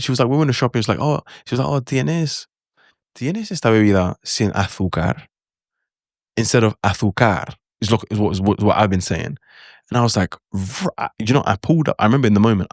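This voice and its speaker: A young man talks to the camera in a casual, chatty way, acting out his own reactions as he goes. His voice sits low, and the recording is close and dry with no room sound. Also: vocal fry, dry recording. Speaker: language learning creator. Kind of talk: casual talking-head advice.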